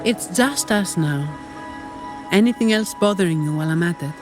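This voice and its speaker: An actress voicing a cool, weary, dutiful young security operative in a video game, composed and dryly unimpressed. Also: female voice, fairly low pitch, lively intonation, moderate pace, lightly acted. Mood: businesslike. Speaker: employee of a powerful family leading an operation. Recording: studio voice under game music.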